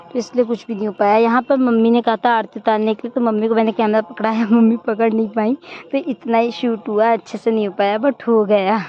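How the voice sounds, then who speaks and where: A young woman narrates her family vlog with a hoarse voice. She talks in a chatty, storytelling way, her pitch sitting a little high. The sound is dull and lo-fi. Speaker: family vlogger. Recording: dull lo-fi phone audio.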